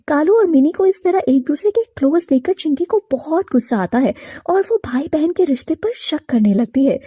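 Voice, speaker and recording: A woman storyteller narrates an animated moral tale from a script. Her voice is fairly high and very mellow. The recording is dry, with no room sound. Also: no room sound.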